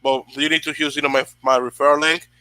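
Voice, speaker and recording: A man's voice: a little high, level tone, quick pace, bright, forceful tone. A young man from the Dominican Republic hosting a casual motivational livestream, rambling with many fillers and restarts while he answers viewers. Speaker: channel host.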